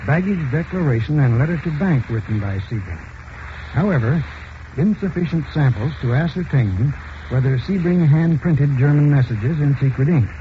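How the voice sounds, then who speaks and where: A man acting as a brisk senior FBI agent in a 1940s radio drama. His voice is a bit high, with clipped, matter-of-fact delivery. The vintage recording is muffled and noisy. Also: animated, lightly acted. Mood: brisk, businesslike. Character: brisk, businesslike male FBI superior.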